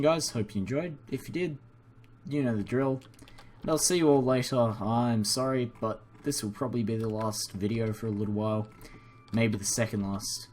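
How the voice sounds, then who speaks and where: A man's voice: medium pitch, slight background noise. A young man, a native English speaker, giving rambling, self-deprecating and apologetic casual commentary as the host of a gaming video, recorded indoors at a computer. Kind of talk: casual unscripted game commentary.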